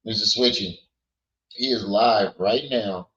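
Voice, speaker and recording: A hoarse man hosting a casual livestream, blunt and quick to laugh while greeting his chat. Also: on the low side, a bit bright, dull audio. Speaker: livestream host.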